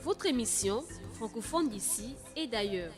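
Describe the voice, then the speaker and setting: A woman's voice: on the high side, animated, unhurried. A woman reading a scripted promotional announcement for a radio programme. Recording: loud music bed under voice. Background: loud music.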